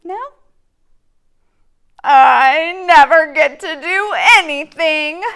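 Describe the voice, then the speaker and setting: A woman's voice: sits high, very slow, a bit bright, pitched above the performer's own voice, exaggerated voice. A woman voicing a childlike, wheedling pigeon from a storybook, begging and bargaining with a tantrum streak. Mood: excited, pleading.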